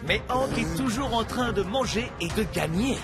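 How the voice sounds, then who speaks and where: A man's voice: high voice, animated, normal speed, reverberant, big-room sound, music in the background. A man voicing an upbeat, playful young cartoon friend who talks like a sports interviewer. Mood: excited. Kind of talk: mock sports interview and commentary.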